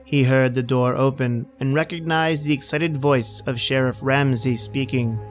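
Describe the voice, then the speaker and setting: A man's voice: mid-range voice, steady tone, moderate pace, faint music behind, close to an everyday voice. A man reading scripted suspense narration as the narrator of an old-time radio horror play.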